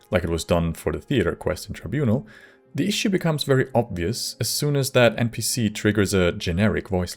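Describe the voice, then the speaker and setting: A man with a low voice narrates a scripted video essay as its host. Music plays clearly under his voice. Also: darkish tone.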